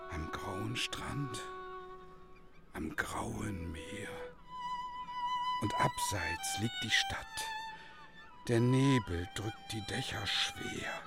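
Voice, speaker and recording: An actor in a German radio play voicing a proud, wistful village schoolmaster who would be a poet, declamatory and scornful of gossip. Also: male voice, mid-range voice, heavily acted. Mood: wistful, reciting. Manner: declamatory, brooding, theatrical.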